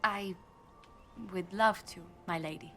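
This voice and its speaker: An actress playing a composed, diplomatic noblewoman ambassador in a video game, speaking politely and formally, with measured firmness. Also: medium pitch, sharp tone, faint music behind. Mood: gentle concern. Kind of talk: video game cutscene acting.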